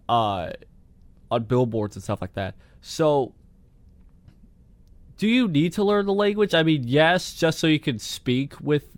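A man's voice: mid-range voice, no echo. Young male podcast host, loose rambly delivery full of fillers, voice fading from a cold, dry close sound. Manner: rambling, heavy use of filler "like". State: losing his voice, getting sick. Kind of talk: solo casual podcast monologue.

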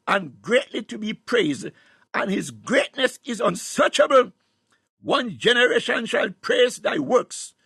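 A man's voice: high-pitched, creaky, pushed and bright, breathy edge, no echo. A man preaching a fervent charismatic sermon, exclamatory and repetitive, reciting scripture and breaking into praise.

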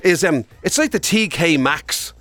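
A man's voice: a little high, lively intonation, measured pace, pushed and bright, no room sound, soft background music. A middle-aged man from Dublin with a Dublin Irish accent, co-hosting a podcast in a chatty, casual style with some swearing. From Dublin, Ireland. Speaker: podcast and radio show co-host. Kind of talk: casual chat.

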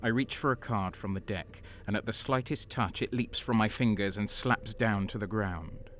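An actor playing a bitter, violent male renegade guardsman, narrating slowly in the first person. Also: on the low side, a bit bright, slight background noise, lightly acted. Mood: tense. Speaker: narrator and lead. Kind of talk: audio drama narration. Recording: music underneath.

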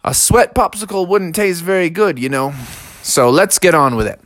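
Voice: medium pitch, expressive, moderate pace, a bit bright, slight background noise, close to an everyday voice. A storyteller voicing a jolly, blustery father bear in a children's story, with folksy old-fashioned turns of phrase. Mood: brisk, instructive. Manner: old-fashioned folksy exclamations, calls son "me boy".

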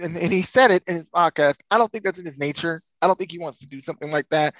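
Joking podcast co-host, man, slightly high voice, dry sound. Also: fairly bright tone, no echo, poor audio. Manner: wry, joking, laughs while talking. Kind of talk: casual sports chat.

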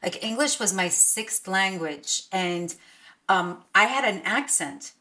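Woman interviewer, earnest, encouraging, bright forceful tone. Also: mid-range voice, animated, slow pace. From United States. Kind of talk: interview conversation.

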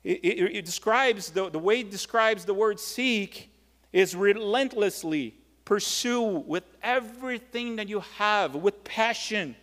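A male pastor with a Brazilian accent speaks in a noticeably high-pitched voice, with long reverb from the hall around him. His sermon is conversational and animated, built on personal storytelling in English as a second language. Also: a little slow. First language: Portuguese. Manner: animated storytelling, acts out short exchanges.

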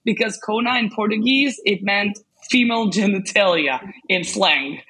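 Husky woman, chatty guest. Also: medium pitch, moderate pace, a bit bright, poor audio. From Serbia. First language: Serbian. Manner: chatty, many fillers and restarts, laughs often. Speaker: guest, language specialist. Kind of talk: casual interview chat.

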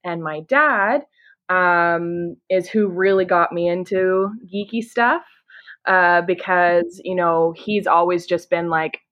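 A woman's voice: on the low side, slow pace, muffled recording. A Canadian woman in her thirties, a podcast guest, who talks eagerly and casually with many "you know"s. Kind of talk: casual conversation.

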